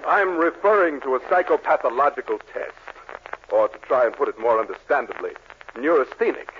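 Man playing a pompous middle-aged shrink, lofty and fussy, swooping lively delivery, dull scratchy old radio sound. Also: medium pitch, a little fast, lightly acted. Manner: pompous, clinical, growing exasperated. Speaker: psychiatrist in a radio sitcom. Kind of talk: 1940s radio comedy acting. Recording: scratchy 1940s radio broadcast with hiss.

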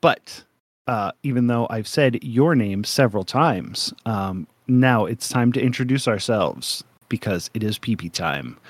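A young man co-hosting a casual podcast, rambling through jokes and breaking into laughs. His voice sits at a middling pitch and is recorded very dry, close to the mic with no room sound. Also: lively intonation, a little slow, no echo. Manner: jokey, rambling, laughs often. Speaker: podcast co-host.

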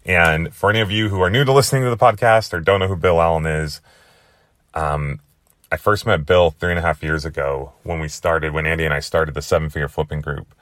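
A young man with a hoarse, worn-out voice hosting his podcast in a rambling, chatty way. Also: extremely low pitch, fairly even intonation, a little fast, a bit bright, no echo, clean studio sound. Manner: chatty, rambling with many fillers. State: hoarse, lost voice. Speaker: podcast host. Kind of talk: casual monologue.

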